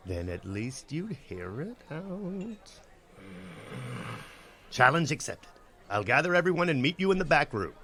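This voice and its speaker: An actor playing an eager, boastful tavern bard in a comedy radio play, excitable and hammy. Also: male voice, on the high side, unhurried, long pauses, heavily acted. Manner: excitable, hammy, stammers when put on the spot.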